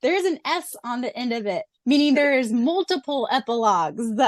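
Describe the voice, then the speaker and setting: A woman co-hosting a fan podcast, gushing excitedly and laughing often as she reads answers and wraps up the show. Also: on the high side, normal speed, no echo. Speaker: podcast co-host.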